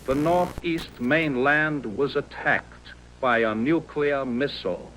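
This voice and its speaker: A man playing a solemn American president giving a formal, deliberate, reassuring scripted address to the nation. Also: mid-range voice, fairly bright tone, a little hiss or hum, poor audio. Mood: solemn, resolute.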